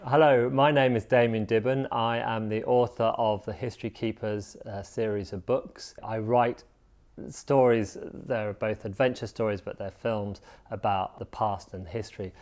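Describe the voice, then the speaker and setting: A man's voice: on the low side, steady tone, moderate pace, darkish tone. A man speaking as an author in a promotional interview.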